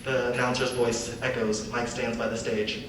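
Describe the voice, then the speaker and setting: A man's voice: medium pitch, flat delivery, normal speed, fairly bright tone. A young man reading stage directions as scripted narration for a dramatic reading. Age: young adult. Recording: echoey hall from far off, noisy.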